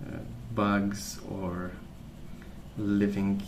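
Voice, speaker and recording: A man rambling casually in a soft-spoken ASMR style, hesitant and meandering as he hosts. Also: on the low side, completely flat delivery, drawn-out words, echoey room, noisy background. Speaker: ASMR video host.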